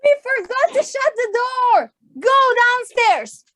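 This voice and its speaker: A woman's voice: extremely high pitch, big pitch swings, slow, fairly bright tone, no room sound, studio quality. A woman telling a story to a class of children in a theatrical, exaggerated way, repeating phrases as a teacher does. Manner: gasps for dramatic effect.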